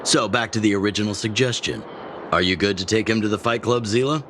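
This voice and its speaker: A man's voice: lowish voice, brisk, noisy background, lightly acted. A man voicing a genial, teasing older chief and mentor of fighters in a video game. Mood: fond, teasing. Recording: music behind the voice.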